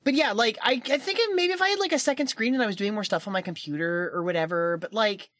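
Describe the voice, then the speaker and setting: A woman's voice: mid-range voice, fast talker, a bit bright, dry recording, clean studio sound. A woman co-hosting a podcast in casual chat, rambling with lots of "like"s and laughing often. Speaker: podcast co-host and editor. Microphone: home podcast mic.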